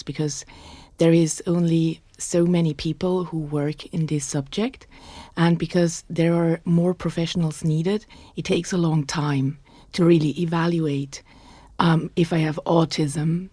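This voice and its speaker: A woman in her thirties with a Swiss German accent, hosting a personal podcast in a soft, reflective way and sometimes dropping to a whisper. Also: low voice, dry recording. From Switzerland. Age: 30s. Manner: soft-spoken, thoughtful, sometimes drops to a whisper. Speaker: podcast host.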